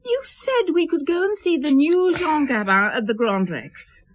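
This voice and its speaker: An actress playing an inspector's caring, long-suffering wife in a radio drama, inquisitive and gently reproachful. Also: female voice, high voice, very animated, unhurried, lo-fi sound. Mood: disappointed.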